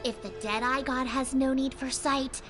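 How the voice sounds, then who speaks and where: A woman playing a blind hooded cultist in a video game, earnest and reverent with a dry deadpan. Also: sits high, normal speed, over music, close to an everyday voice.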